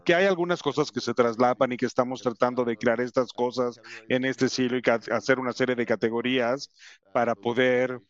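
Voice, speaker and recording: Halting man speaking Spanish with an English accent. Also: medium pitch, fairly even intonation, lo-fi sound. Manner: halting, many fillers and restarts. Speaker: company representative presenting to educators. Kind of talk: conference presentation.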